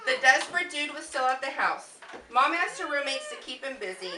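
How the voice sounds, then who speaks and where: High-voiced American woman storytelling, echoey and distant. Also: big pitch swings, a little fast. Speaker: puppet show narrator at a family reunion. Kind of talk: scripted storytelling, read aloud to relatives. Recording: echoey, noisy room recorded from far off.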